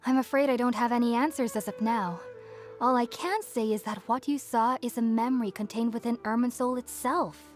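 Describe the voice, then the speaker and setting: A woman playing a gentle, friendly young female scholar in a video game. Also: a little high, steady tone, normal speed, faint music behind, natural voice. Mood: gentle, grateful.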